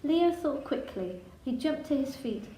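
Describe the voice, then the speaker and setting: Slow, high-voiced woman reading a storybook. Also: lively intonation, warm tone, slight background noise. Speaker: storybook reader. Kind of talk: expressive children's picture book narration in verse. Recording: echoey room.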